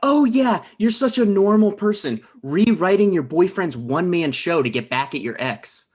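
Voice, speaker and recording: An actor playing an anxious, resentful man in his thirties, with halting, defensive delivery in a naturalistic comedy table read. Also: high-pitched, animated, darkish tone, breathy edge.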